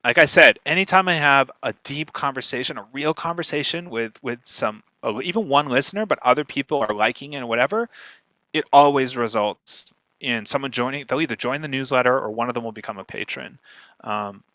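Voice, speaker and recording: A man's voice: medium pitch, brisk, sharp tone, dry recording. A man speaking as a podcast strategist in an informal question-and-answer talk, emphatic and opinionated.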